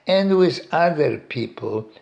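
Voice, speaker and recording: A man with a Polish accent talks in a very slow, unhurried way, his low voice full of gravelly fry. His pitch swings widely as he muses aloud, pausing, restarting and chuckling to himself. He sounds like he is giving a reflective talk, recorded at home on his own device. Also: animated, a little mellow, highs cut off. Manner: slow, halting, pauses and restarts, chuckles often. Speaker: meditation teacher. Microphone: recording device set up by himself.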